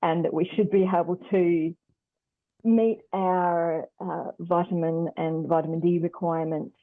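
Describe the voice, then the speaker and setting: A woman's voice: lowish voice, steady tone, a little slow, no echo. A woman with an Australian accent, explaining conversationally as an expert guest on a radio phone-in, over a muffled phone-like line.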